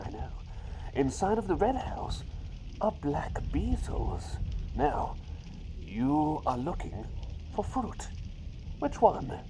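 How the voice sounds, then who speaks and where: A man voicing an eccentric, playful doctor turned talking bird, in soft-spoken ASMR roleplay with whispers and whimsical, unhurried phrasing. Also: a little high, full character voice. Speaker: riddle-teller. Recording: clear background noise with outdoor ambience.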